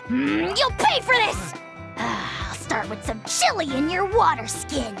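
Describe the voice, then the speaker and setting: A woman's voice: high-pitched, sing-song, unhurried, gravelly, heavily acted. A woman voicing a tiny, boastful creature who calls himself a dragon lord, blustering and name-calling in a game cutscene. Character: tiny pompous self-proclaimed dragon lord creature, male. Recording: game score music underneath.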